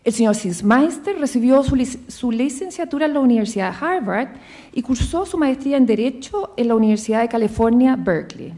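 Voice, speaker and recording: A woman's voice: a little high, animated, brisk, mellow. A woman with a Chilean accent, moderating a seminar in a theater, giving formal announcements and introducing a speaker with occasional fillers. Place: theater stage.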